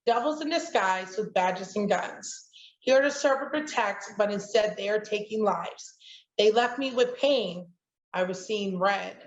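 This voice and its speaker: A woman's voice: mid-range voice, a little slow. A woman reciting her own poem in an emphatic, declamatory spoken word manner. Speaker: poet, showcase participant. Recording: roomy, dull lo-fi online audio.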